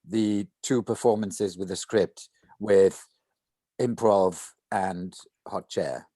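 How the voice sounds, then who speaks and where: A man's voice: sits low, steady tone, slow pace, dark tone, no room sound. A man in a casual planning discussion among actors, thinking aloud with repeated, hesitant phrases. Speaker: voice actor at a table read.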